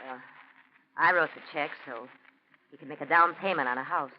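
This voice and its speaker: A woman's voice: low voice, normal speed. A woman acting a domineering, nagging wife who scolds and gives orders in a 1940s radio drama. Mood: brusque, bossy. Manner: sharp, commanding, scolding. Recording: dull, noisy 1940s radio recording.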